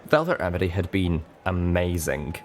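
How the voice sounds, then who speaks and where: A man's voice: low-pitched, big pitch swings, moderate pace, slight background noise. A man reading a first-person story aloud as an audiobook narrator, in scripted narration.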